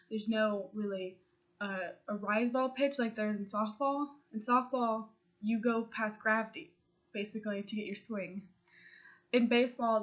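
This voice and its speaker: Young woman with a cold, slightly muffled. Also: mid-range voice. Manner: earnest, with restarts and fillers. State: congested from a cold. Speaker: student giving a speech. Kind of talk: persuasive class speech. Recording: slightly dull lo-fi sound.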